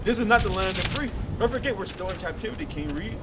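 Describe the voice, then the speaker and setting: A man's voice: sits high, lively intonation, quick pace. A man preaching outdoors on a city street, emphatic and firing questions at his listener. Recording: distant mic with loud traffic noise.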